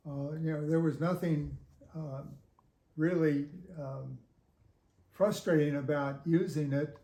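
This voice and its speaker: A man in his seventies giving casual interview answers in a halting, measured way with frequent pauses. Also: fairly high pitch, darkish tone. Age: 70s. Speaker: guest reviewer of audio gear. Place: home living room with hi-fi gear. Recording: echoey room.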